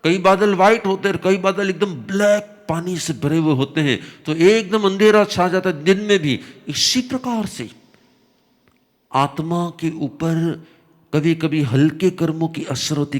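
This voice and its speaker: Slow, slightly hoarse spiritual teacher man. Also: high voice. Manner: slow, repetitive explaining with emphatic rises. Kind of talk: religious discourse.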